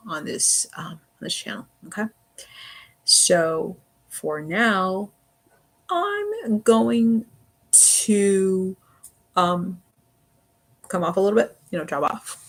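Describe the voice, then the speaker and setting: A livestream host with a sharp, creaky voice at a middling pitch. The talk is casual and wandering, with halting restarts and repeated phrases, delivered earnestly. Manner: halting, repetitive, peppered with "you know".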